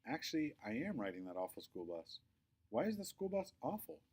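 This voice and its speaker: Storybook lead: quirky, earnest schoolgirl, read by a man. Eager, chatty, expressive, very animated melody. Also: mid-range voice, brisk, husky. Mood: puzzled, eager. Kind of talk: children's storybook read-aloud.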